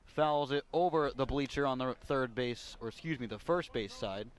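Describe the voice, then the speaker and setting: A man's voice: mid-range voice, normal speed. A man giving live radio play-by-play commentary as a baseball announcer.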